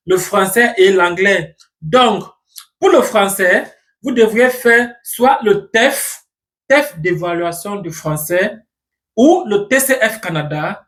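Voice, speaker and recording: High-voiced man explaining steps methodically. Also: a bit bright. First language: English. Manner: methodical, counts off numbered points; plain, reassuring advice to viewers. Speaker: immigration explainer. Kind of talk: step-by-step instructional talk.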